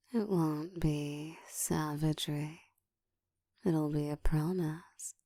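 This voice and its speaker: Casting: woman to play an ancient female vampire, dominant and seductive, low hushed whisper, extremely slow and deliberate, intimate ASMR roleplay. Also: no echo, studio quality, heavily acted. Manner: breathy whispering, slow deliberate teasing delivery.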